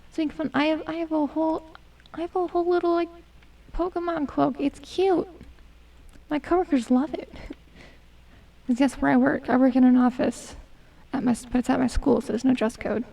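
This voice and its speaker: Young woman streamer, hoarse and gravelly, high-pitched, chatty and self-deprecating. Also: fairly even intonation, moderate pace, warm tone, distant mic, low-quality recording. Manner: chatty, self-mocking, hums and mutters to herself. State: losing her voice, hoarse. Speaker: gaming streamer. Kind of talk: casual gameplay commentary.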